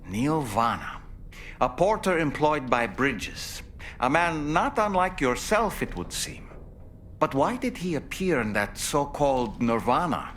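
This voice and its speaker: A man voicing a chummy, musing companion character in a video game, speaking in an expressive, theatrical way. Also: mid-range voice, slight background noise. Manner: expressive, musing, speculating aloud. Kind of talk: video game cutscene dialogue.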